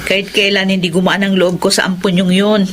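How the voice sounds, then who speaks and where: A woman's voice: mid-range voice, level tone, fairly quick, slight background noise, natural voice. A woman playing a resentful, huffy unmarried grown daughter in a radio drama. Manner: huffy, complaining.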